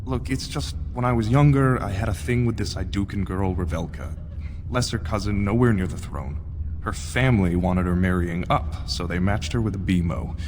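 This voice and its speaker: A man's voice: sits low, moderate pace, a little mellow, a little hiss or hum, close to an everyday voice. Man playing a troubled dwarf warrior, echoing hall. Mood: troubled, confessing. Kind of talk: video game dialogue acting. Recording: long reverb, music behind.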